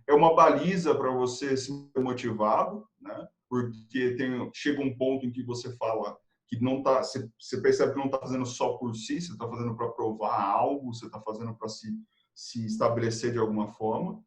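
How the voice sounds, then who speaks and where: A Brazilian man speaking as a guest consultant in a live video chat, energetic and motivational, rattling off quick lists of advice. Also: medium pitch. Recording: roomy, echoey room sound.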